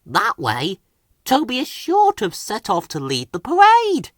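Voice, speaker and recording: Man voicing an eager, anxious high-pitched little steam engine. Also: huge pitch swings, unhurried, dry recording, clean studio sound, exaggerated voice. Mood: scheming. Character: eager, anxious young male steam engine, fretful but well-meaning.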